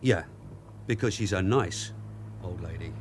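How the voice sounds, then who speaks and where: An actor playing a bossy, streetwise long-serving detective sergeant in a radio drama, with a London accent and a brisk, clipped, commanding manner. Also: male voice, deep voice, slight background noise. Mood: blunt, weary. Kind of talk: naturalistic British radio drama acting.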